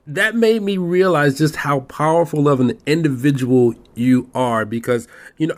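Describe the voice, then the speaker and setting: Man, podcast host, admiring, chatty, fairly high voice. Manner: admiring, chatty, laughs easily. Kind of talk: podcast interview.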